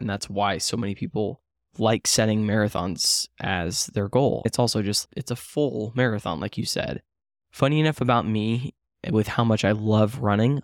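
A man's voice: fairly low pitch, no room sound, clean studio sound. A man in his late twenties co-hosting a casual chat, talking in a rambling, self-deprecating way with many fillers and restarts. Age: 20s. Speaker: podcast co-host.

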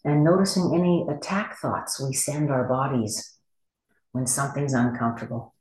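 A meditation teacher with a medium-pitched voice guides a body scan. The delivery is gentle and unhurried, with long pauses between short instructions. The recording carries noticeable room echo. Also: steady tone, slight background noise. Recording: echoey room.

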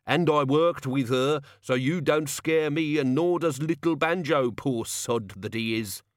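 A man voicing a gruff, indignant old male locksmith with a rough working-class way of talking in an audiobook. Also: very animated, normal speed, a bit bright, no echo, clean studio sound. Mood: defiant. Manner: indignant working-class grumble, rising pitch.

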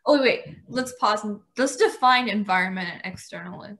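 Young American female, medium pitch, conversational, explanatory and questioning. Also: normal speed, sharp tone. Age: young adult. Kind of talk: casual discussion.

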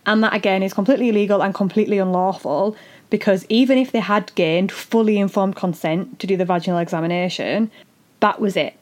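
A woman with a husky, fading voice, hosting an educational podcast and explaining things earnestly, step by step. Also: medium pitch, darkish tone. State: husky voice after illness. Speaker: podcast host and doula. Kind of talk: solo educational podcast talk.

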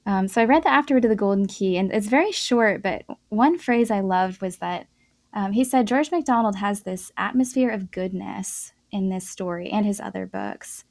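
Female podcast host, conversational interviewer, gravelly fry, dry studio-like sound. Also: medium pitch, moderate pace, a little mellow, no echo.

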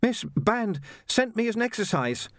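A high, lively young woman of deferential, eager politeness, as a male narrator puts her on in a storybook reading. She is a trainee assassin, earnest and proper. Her voice leaps about with sprightly animation. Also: moderate pace, no echo, clean studio sound. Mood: earnest, polite. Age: young adult.

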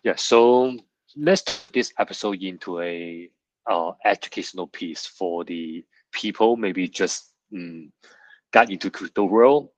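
A man with a husky voice hosting a podcast, introducing a guest and asking questions. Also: low-pitched, unhurried, no echo, highs cut off. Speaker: podcast host. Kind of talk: scripted introduction and interview questions.

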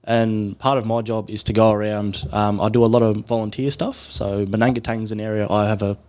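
Quick, lowish-voiced Australian man. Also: little pitch movement, a little mellow. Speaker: paramedic community support coordinator, guest. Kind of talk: informative interview chat.

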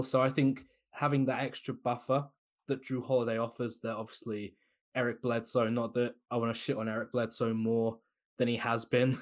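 A man giving casual, opinionated basketball analysis as a podcast guest, with a relaxed, chatty delivery and the odd laugh. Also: medium pitch. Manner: loose, opinionated, laughs between points.

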